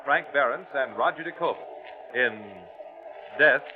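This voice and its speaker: An actor playing a formal, declamatory announcer and newscaster in 1940s radio style. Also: male voice, mid-range voice, measured pace, close to an everyday voice. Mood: formal, dramatic. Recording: tinny vintage radio recording, music behind.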